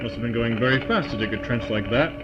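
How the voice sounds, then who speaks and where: A man playing a brave, gruff army colonel in an audio drama, terse and commanding. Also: medium pitch, quick pace, very noisy, natural voice. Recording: dull lo-fi, very loud music behind.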